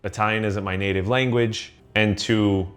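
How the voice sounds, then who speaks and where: A young man from the United States talks through his thoughts in a slightly low, steady voice. He is earnest and conversational, with plenty of ums and false starts. Soft music runs faintly underneath. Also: normal speed. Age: young adult. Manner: earnest, with frequent ums and restarts. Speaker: vlogger. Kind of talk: reflective personal monologue.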